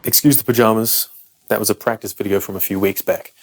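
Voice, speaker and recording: Low-voiced British man explaining guitar technique. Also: steady tone, fairly quick, very noisy. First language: English. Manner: frank, self-deprecating and emphatic. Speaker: guitar teacher.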